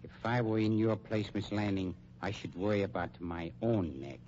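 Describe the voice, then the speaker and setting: Male actor as sinister undertaker, mellow low voice, formal and polite, 1950s radio thriller, muffled old recording. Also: normal speed, a little hiss or hum, close to an everyday voice. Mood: dry, threatening. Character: suave, menacing male funeral director and crime accomplice. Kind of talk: 1950s radio crime drama acting.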